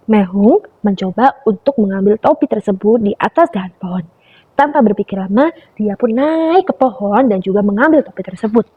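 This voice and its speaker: Lively young woman telling a kids' story. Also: mid-range voice, husky. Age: young adult. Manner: animated, calls listeners "friends". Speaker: storyteller for preschool children. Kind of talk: children's storytelling. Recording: dull lo-fi recording.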